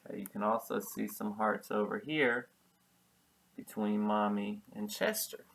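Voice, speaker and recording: A man's voice: fairly low pitch, measured pace, gravelly, fairly bright tone. A man reading a storybook aloud as a preschool teacher, patiently explaining it and repeating key words. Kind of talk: children's storybook read-aloud with simple explanations.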